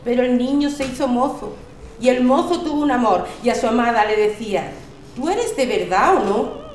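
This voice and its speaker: A woman from Spain reading poems aloud, animated and storytelling, voicing the quoted lines. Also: fairly high pitch, moderate pace, reverberant, slight background noise. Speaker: poetry reciter at a community reading. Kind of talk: expressive poetry recitation.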